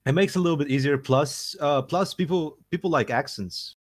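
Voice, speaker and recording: A man's voice: fairly high pitch, fairly quick. A man from Russia with a Russian accent, a streamer guest chatting bluntly and swearing freely. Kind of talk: casual chat.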